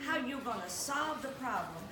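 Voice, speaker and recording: A woman with a high voice speaks encouragingly in a preacher-like rhythm on a panel. The mic sits far off in an echoey room, and the audience can be heard around her. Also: lively intonation, moderate pace, crowd noise. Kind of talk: motivational panel talk. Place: event room with live audience.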